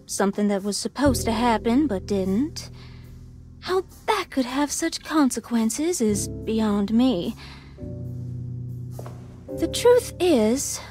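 A jaded flapper socialite talks slowly in a fairly high voice. She is wry, flirtatious and a little theatrical, as in a period game cutscene. Music is clearly heard behind her. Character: jaded, flirtatious 1920s society woman, drily amused. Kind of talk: video game cutscene dialogue.

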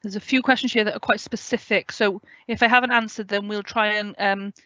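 A woman's voice: medium pitch, steady tone, brisk, sharp tone, no echo. Welsh woman explaining over a headset. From West Wales. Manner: clear, friendly explaining, checks in with "okay?". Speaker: student adviser. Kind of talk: online orientation talk with questions. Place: home room with a window. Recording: dry, dull video call audio.

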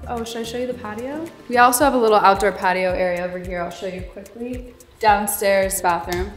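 A woman's voice: mid-range voice, fairly quick. A woman in her twenties with an American accent, chatting casually and informally in a giggly way as a vlogger. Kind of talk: casual house tour vlog chat. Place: inside a bright open-plan home. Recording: roomy echo, music underneath.

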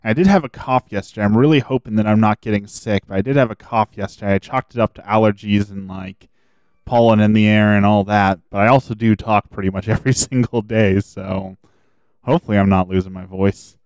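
A man streaming a video game, chatting casually and thinking aloud as he plays, his voice a little hoarse. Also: fairly low pitch, fairly even intonation, a little fast, a little mellow, no room sound. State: slightly hoarse. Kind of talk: casual gameplay commentary.

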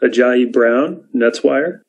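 A man's voice: medium pitch, slow, gravelly, dull audio. A male moderator briefly announcing each reporter and outlet at a press conference.

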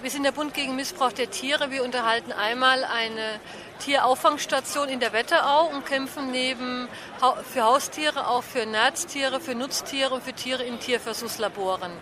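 A woman's voice: high voice, moderate pace. A woman representing an animal welfare group, explaining its work in a street interview. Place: outdoors on a street. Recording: noisy outdoor street interview.

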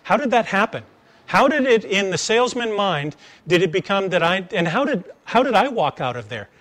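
A middle-aged American man giving a conversational lecture, telling stories in an animated way and asking rhetorical questions. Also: sits high, moderate pace. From United States. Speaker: speaker giving a talk, former engineer turned sales trainer. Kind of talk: conversational public lecture with acted-out anecdotes. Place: attic seminar room with wooden floor. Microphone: lapel mic.

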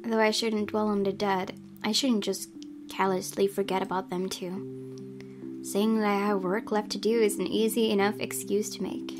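A woman's voice: mid-range voice, little pitch movement, normal speed. A woman reading a visual novel's narration aloud as a let's play host. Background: guitar music from the game.

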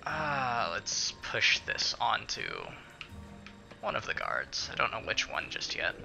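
A man's voice: fairly low pitch, very animated, slow pace, vocal fry, sharp tone, soft background music. A man giving casual let's-play commentary, muttering to himself and swearing mildly as he plays. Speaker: gaming video host.

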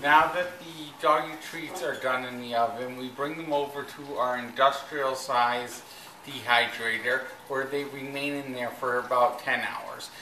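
A young man explaining a kitchen process on camera, slowly and carefully with pauses. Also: mid-range voice, pushed and bright, a little hiss or hum. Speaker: dog treat team member. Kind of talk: on-camera demonstration. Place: kitchen, indoors. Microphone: far camera mic. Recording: echoey kitchen, distant mic.

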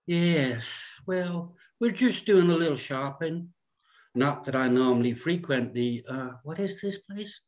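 A man acts out a fussy society woman of fifty in a table read. The delivery is genteel and condescending, with drawn-out phrases and false sweetness. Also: fairly high pitch, lively intonation. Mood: smugly superior. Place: indoor room at home, on a video call.

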